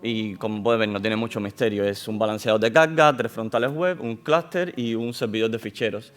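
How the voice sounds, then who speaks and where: A man with a foreign accent giving a measured technical talk at a conference, pausing often with fillers and restarting his sentences. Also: on the low side, fairly even intonation. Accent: non-Spanish accent in Spanish. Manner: measured, with frequent hesitations and restarts. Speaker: conference speaker. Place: conference hall.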